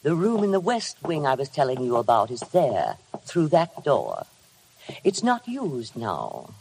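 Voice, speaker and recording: An actress playing a cold, formal middle-aged housekeeper in a 1950s radio drama, measured and stiffly deferential. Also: female voice, very deep voice, huge pitch swings, creaky, mellow, a little hiss or hum, full character voice. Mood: slow, insinuating.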